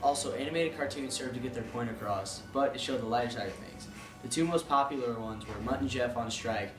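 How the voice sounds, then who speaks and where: A man's voice: mid-range voice, brisk. Distant, echoey male reporter. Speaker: news correspondent. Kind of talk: scripted news report reading. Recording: distant mic, echoey room, music underneath.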